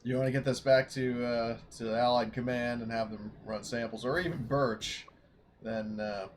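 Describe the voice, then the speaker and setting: A man playing a tabletop roleplaying game, haltingly narrating what the character does and says in a casual way. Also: mid-range voice, fairly even intonation. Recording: home recording with faint background noise.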